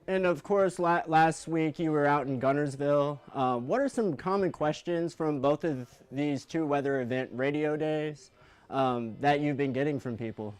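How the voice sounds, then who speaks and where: A man with a smooth, clear voice pitched a little high. He is a news host putting questions to a guest in a casual, conversational interview. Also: normal speed.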